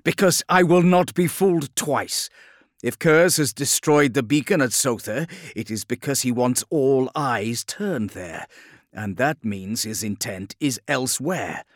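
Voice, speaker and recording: A man's voice: mid-range voice, animated, slow pace, dry recording, studio quality. Man acting a growling, imperious warlord. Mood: vengeful, resolute. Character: proud, savage, commanding warlord primarch.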